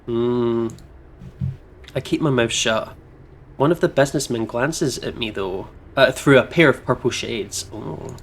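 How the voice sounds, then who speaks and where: A man's voice: medium pitch, measured pace, creaky. Playful male let's-player, noisy background. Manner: playful, informal. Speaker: let's play host. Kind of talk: casual playthrough chat and read-aloud narration.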